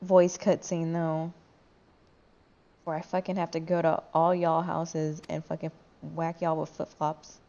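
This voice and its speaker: Tired, low-voiced woman gamer, slightly muffled. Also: little pitch movement, unhurried, warm tone. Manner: rambling, informal, sweary. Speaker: gaming video host and player. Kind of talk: casual gaming chat with friends. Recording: dull lo-fi voice chat, faint music.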